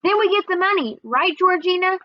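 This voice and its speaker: Storybook kid brother, woman reader as a high, whiny, excitable young boy, eager and anxious. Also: brisk, no room sound, muffled recording.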